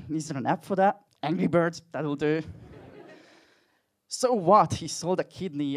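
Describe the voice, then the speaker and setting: A man's voice: high voice, darkish tone. A young man with a Hungarian accent doing a dry, self-mocking stand-up comedy set with crude jokes. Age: young adult.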